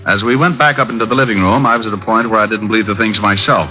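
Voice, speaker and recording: An actor playing a wisecracking private detective, breezy and sardonic, in 1940s radio detective style. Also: male voice, fairly low pitch, steady tone, rapid-fire, lightly acted. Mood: narrating. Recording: muffled old radio recording, music behind.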